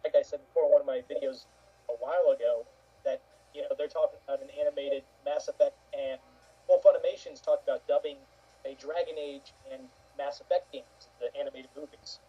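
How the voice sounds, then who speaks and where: A man's voice: a little high, fairly even intonation, fairly quick, husky. A man contributing casually to a panel discussion over a dull, lo-fi connection. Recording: dull lo-fi muffled voice line.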